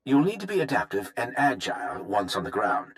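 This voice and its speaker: An actor playing a smooth, evasive corporate executive in a video game, speaking in polished, wordy, formal phrases. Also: male voice, medium pitch, sing-song, brisk, natural voice. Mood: businesslike. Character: smooth, evasive, self-justifying male corporate executive, schemer. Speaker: corporate research executive character. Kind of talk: video game cutscene acting.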